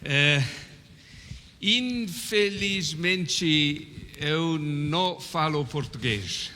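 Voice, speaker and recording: Male guest speaker, bright forceful voice, pausing for translation, in a noisy echoing church hall. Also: fairly high pitch. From Canada. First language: English. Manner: halting, short phrases paused for translation. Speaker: visiting mission speaker. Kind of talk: casual greeting to congregation through interpreter. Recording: echoey hall with clear background noise.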